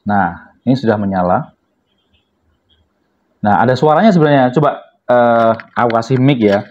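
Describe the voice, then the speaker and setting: A man's voice: medium pitch, animated, moderate pace, darkish tone. A man speaks in a dull, lo-fi recording, as in a homemade review video. He talks informally to his viewers, explaining things step by step in a casual unboxing style. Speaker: product reviewer, vlogger. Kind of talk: casual unboxing and product demonstration.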